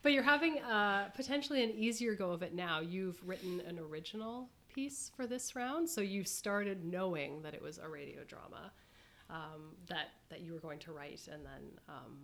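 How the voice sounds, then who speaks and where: A woman hosting a conversational panel interview, speaking haltingly and circling back to rephrase her questions. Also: fairly low pitch, a bit bright. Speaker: host.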